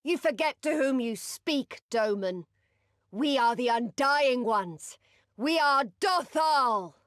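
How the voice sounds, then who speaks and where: An actress playing a proud warrior chieftain in a video game, haughty and declamatory. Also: female voice, sits high, lively intonation, slow pace, a bit bright, no echo, studio quality, heavily acted. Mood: defiant. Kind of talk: solemn video game acting.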